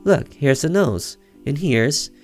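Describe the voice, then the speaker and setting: A man's voice: a little high, expressive, takes it slowly, pitched above the performer's own voice. A man voicing an eager young boy who insists and bickers with his little sister in a visual novel. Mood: eager, insistent. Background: music under the voice.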